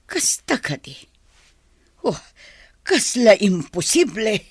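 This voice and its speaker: A woman's voice: medium pitch, very animated, slow, breathy edge, no room sound, studio quality, heavily acted. A woman playing a fretful, scolding older mother with an Ilocano accent in a radio drama. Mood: nosy, surprised.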